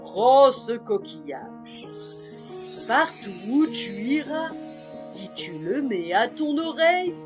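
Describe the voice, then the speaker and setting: A woman's voice: fairly high pitch, sing-song, takes it slowly, over music. A woman voicing a majestic giant crab king of the sea in a children's story, grateful and ceremonious in manner. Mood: solemn, grateful.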